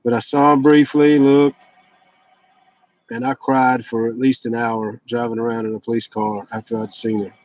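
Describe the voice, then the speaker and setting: A man's voice: medium pitch, little pitch movement, normal speed, no room sound, highs cut off. A man in his fifties talking casually and candidly in a rambling, blunt, confessional personal vlog. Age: 50s. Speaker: vlogger sharing fasting and fitness journey.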